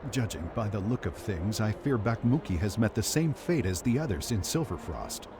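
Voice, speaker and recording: An actor voicing a dignified older deposed ruler in a game, speaking in a measured, formal way. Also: male voice, on the low side, sing-song. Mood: grave. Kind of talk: game cutscene voice acting. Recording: game audio with clear ambient noise.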